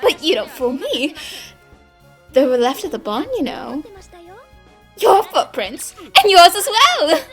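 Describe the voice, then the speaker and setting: A woman acts out a confident young female detective from London. The voice is high and swings theatrically, with a cheeky, challenging edge suited to a cartoon dub. Soft music plays underneath. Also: big pitch swings, slow pace, pauses often, heavily acted. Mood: smug, confident. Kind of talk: animated fan dub acting.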